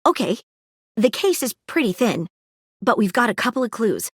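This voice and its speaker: A woman's voice: a little high, very animated, moderate pace, breathy edge, no echo, clean studio sound. A woman voicing an eager, inquisitive young female detective in a video game, earnest and full of facts. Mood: analytical. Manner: earnest, inquisitive, fact-loving. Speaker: voice actor. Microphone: studio mic, dry booth.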